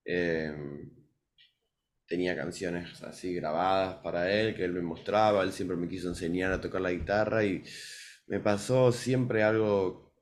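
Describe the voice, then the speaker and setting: A young man in his early twenties speaks in a fairly low voice with a Buenos Aires accent. He tells his story casually, as a guest in an interview. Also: fairly even intonation, a little slow, a little hiss or hum. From Buenos Aires, Argentina. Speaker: musician guest.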